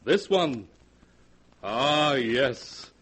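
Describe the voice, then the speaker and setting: A man's voice: mid-range voice, lively intonation, drawn-out words, a bit bright. A man acting as the solemn, theatrical host of a 1940s radio horror anthology, narrating with ominous gravity. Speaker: announcer and host. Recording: noisy vintage 1940s radio, long reverb.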